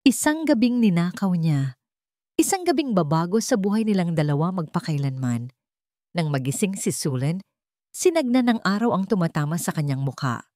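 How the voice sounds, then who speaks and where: A Filipino woman reading a scripted romance story as narrator, with dramatic, deliberate phrasing. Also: on the low side, sing-song, dark tone, dry recording, studio quality.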